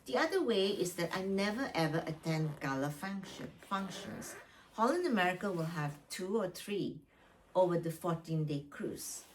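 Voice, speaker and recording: A woman from Malaysia talking casually and plainly through things step by step, as a solo travel vlogger. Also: lowish voice. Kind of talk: casual packing vlog. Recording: distant mic, slight background noise.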